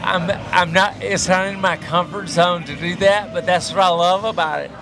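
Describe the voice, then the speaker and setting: A man's voice: high voice, steady tone, moderate pace, gravelly. A man who is a guest on a podcast, chatting in a playful, joking way outdoors. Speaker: guest, local politician. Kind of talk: casual chat. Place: outdoors on a busy street. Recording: street noise behind.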